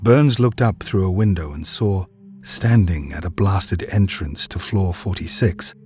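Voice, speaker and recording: A man narrating a scripted drama in a grave, deliberate storytelling manner. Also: deep voice, darkish tone, faint music behind.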